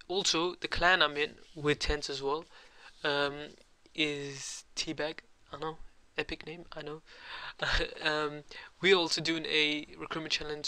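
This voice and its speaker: A young man with a cold, hosting a casual software tutorial at his computer and rambling with lots of ums and uhs. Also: on the high side, flat delivery, normal speed, hardly any pauses. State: has a cold. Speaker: tutorial host.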